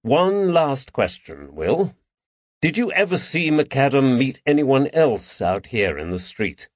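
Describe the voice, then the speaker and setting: A man playing a patient, careful detective in an audiobook mystery, measured and gently firm as he questions. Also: mid-range voice, big pitch swings, dry recording, muffled recording, voice pushed up. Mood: probing.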